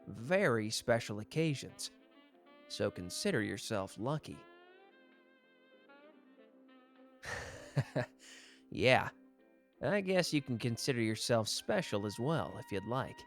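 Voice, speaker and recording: A man's voice: mid-range voice, fairly bright tone. A man acting a suave, teasing young mafia executive with a love of fine wine, speaking playfully to the listener in a roleplay audio. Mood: proud, playful.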